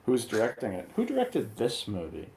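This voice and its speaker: A man's voice: on the low side, huge pitch swings, normal speed, vocal fry, dark tone. A man talking casually as a panelist in a movie review chat. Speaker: show panelist. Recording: noisy, echoey room sound.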